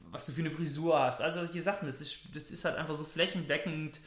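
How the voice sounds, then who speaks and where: A man's voice: a little high, quick pace, fairly bright tone. Male podcast co-host, eager and rambling, recorded in a roomy, echoey space. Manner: enthusiastic, rambling with restarts. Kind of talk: casual chat.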